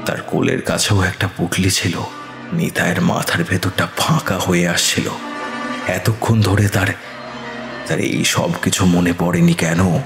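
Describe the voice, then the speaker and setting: Male horror narrator, very low voice, measured and suspenseful, roomy echo. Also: flat delivery, creaky. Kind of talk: scripted horror story narration. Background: eerie background music.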